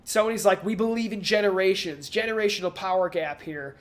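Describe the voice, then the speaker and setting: A man's voice: high voice, fairly quick. A man co-hosting a casual talk show about video games, thinking aloud in rambling analysis and slipping into mock quotes. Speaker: podcast co-host. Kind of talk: casual video game industry discussion.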